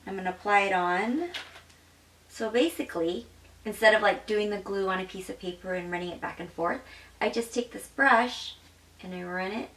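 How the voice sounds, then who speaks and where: A woman's voice: mid-range voice, fairly bright tone, echoey room, far from the mic, rough recording. Bubbly young woman reviewing makeup. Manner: bubbly and gushing, demonstrating makeup while talking. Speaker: beauty vlogger. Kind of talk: casual product review. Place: home makeup room indoors.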